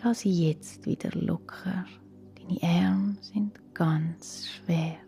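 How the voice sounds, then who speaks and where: A woman with a Swiss German accent guiding a relaxation meditation in a calm, soothing, hushed and breathy voice. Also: fairly low pitch, slow pace. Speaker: guided relaxation instructor for children. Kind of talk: slow guided yoga nidra meditation. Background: soft music.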